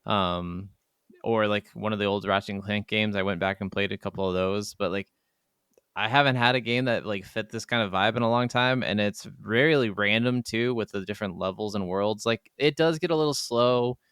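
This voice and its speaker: A man with a smooth, clear voice talks casually as a podcast co-host. He sounds informal and conversational, recorded close and dry with no room sound. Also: lowish voice, normal speed, fairly bright tone, no room sound. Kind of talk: casual chat about video games.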